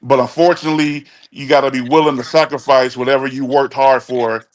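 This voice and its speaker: A man's voice: mid-range voice, little pitch movement, a little fast. A man in his forties from Chicago, speaking bluntly and emphatically as a guest on a call-in talk show, often restarting his sentences. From Chicago, USA. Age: 40s. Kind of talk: casual opinion chat.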